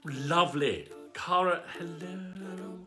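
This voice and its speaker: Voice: mid-range voice, takes it slowly, over music, lightly acted. A performer voicing a pompous aristocratic cat lord, gushing theatrically and affectionately as host of a live bedtime story show. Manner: pompous, gushing, luvvie exclamations. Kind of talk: theatrical livestream greeting and shout-outs.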